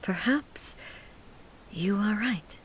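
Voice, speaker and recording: An actress playing a composed, caring noble ruler in a video game, speaking in a measured, reassuring way. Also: female voice, medium pitch, noisy background. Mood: gentle, reassuring. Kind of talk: dramatic video game dialogue. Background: music.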